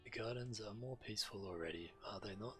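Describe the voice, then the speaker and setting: A man playing a courteous scholar in a fantasy game, speaking in formal, measured and polite phrases, with music underneath. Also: lowish voice, close to an everyday voice. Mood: courteous, earnest. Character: courteous male scholar visiting enchanted gardens. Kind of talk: fantasy game character dialogue.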